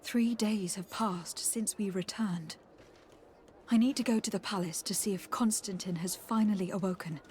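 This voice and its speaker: An actress playing a protective, level-headed young noblewoman adventurer in a fantasy game, with a breathy voice and earnest, direct delivery. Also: medium pitch, normal speed, darkish tone, a little hiss or hum, lightly acted. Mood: subdued. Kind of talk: dramatic fantasy game acting.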